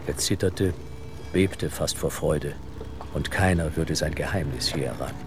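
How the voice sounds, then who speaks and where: A man narrating an audio drama in a measured, suspenseful, literary style. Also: extremely low pitch, over music.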